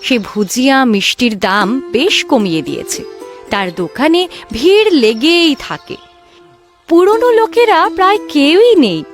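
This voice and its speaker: Female narrator for a children's tale: higher-pitched, expressive scripted storytelling. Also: moderate pace, soft background music.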